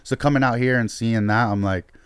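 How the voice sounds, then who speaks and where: A man's voice: mid-range voice, steady tone, fairly quick, clean tone, dry recording. A man from Hong Kong, a fighter guesting on a podcast, chatting casually with many restarts and frequent laughs. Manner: laughing often, stammering restarts. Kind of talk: casual chat.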